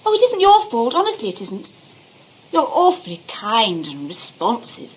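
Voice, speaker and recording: An actress playing a frank, gushing young upper-class English daughter in a radio comedy. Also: female voice, fairly high pitch, wildly swooping pitch, moderate pace, rough recording. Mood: awkward, frank. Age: young adult. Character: candid, impetuous young daughter of a bohemian family. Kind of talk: radio play comedy acting.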